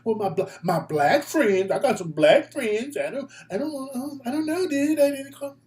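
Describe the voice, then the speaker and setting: A man's voice: very high-pitched, lively intonation, normal speed, a little mellow, pitched above the performer's own voice. A man mockingly voicing a naive man who plays dumb, hesitant and stammering, in a live talk show. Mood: mock innocence. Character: whiny, feigning-innocent man playing dumb in mockery. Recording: echoey room.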